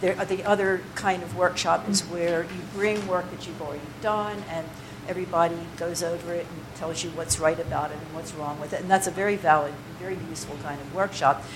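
Chatty, rambling woman workshop leader with a lowish voice, caught on a noisy recording. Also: fairly even intonation, brisk, few pauses. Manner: chatty, digressive, with many fillers and restarts. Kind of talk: informal workshop talk to a group.

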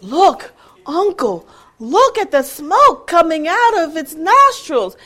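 A man's voice: very high-pitched, slow, a bit bright, voice pushed up, full character voice. A woman voicing a magistrate's young nephew who urgently points out wonders in a told story. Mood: excited. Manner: breathless, urgent, squealing.

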